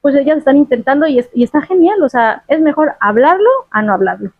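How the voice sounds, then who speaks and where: A Mexican woman guest in a casual interview, chatty and lively, laughing often, heard over a remote call. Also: high voice, quick pace, no echo. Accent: Mexican Spanish. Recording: tinny phone-like line.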